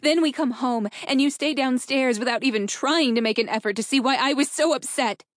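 A woman's voice: high voice, a little fast, no echo, studio quality, pitched above the performer's own voice, close to an everyday voice. A woman voicing an anguished, angry wife in an audiobook, accusing and emotional as she confronts her husband. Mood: sobbing. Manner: accusing, emotional, pleading for truth. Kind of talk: audiobook character dialogue.

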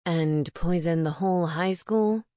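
Fussy, critical male vampire for a storybook, read by a woman: lower register, scoffing exasperation, dry recording. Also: expressive, quick pace, darkish tone, no room sound, lightly acted.

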